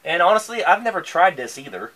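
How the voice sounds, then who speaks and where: A man's voice: high-pitched, sing-song, quick pace, sharp tone, low-quality recording. A man in his thirties co-hosting a beer review at a home bar, laid-back with crude jokes. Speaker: beer review show co-host. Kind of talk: casual chat. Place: basement bar, indoors.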